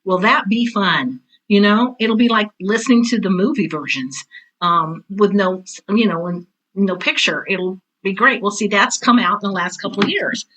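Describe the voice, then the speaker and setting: Woman, 60s, forward bright tone, emphatic and chatty, interview guest telling anecdotes. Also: medium pitch, normal speed, highs cut off. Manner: chatty, emphatic, often quotes herself and others. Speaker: guest, veteran theater teacher and author. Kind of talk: animated conversational interview, anecdotes.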